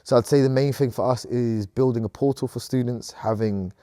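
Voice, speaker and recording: A man's voice: mid-range voice, a little fast, mellow, no echo, clean studio sound. A man in his thirties from Sudan, a company co-founder answering interview questions in an earnest, upbeat way.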